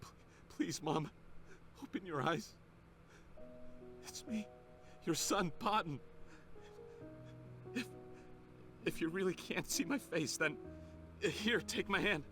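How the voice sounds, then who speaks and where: A man's voice: sits high, expressive, takes it slowly, long pauses, bright, forceful tone, soft background music, heavily acted. A man voicing a bitter, cornered young researcher turned criminal in a video game, with a theatrical, emotionally intense delivery. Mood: pleading, desperate. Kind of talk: scripted video game voice acting.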